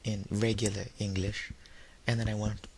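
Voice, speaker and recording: Young man, low creaky voice, tired, rambling solo chat, dry self-deprecating, faint background noise. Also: little pitch movement, normal speed, darkish tone. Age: teenager or young adult, high-school student. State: tired, under the weather. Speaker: YouTuber giving an audio log. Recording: noisy home recording with background hiss.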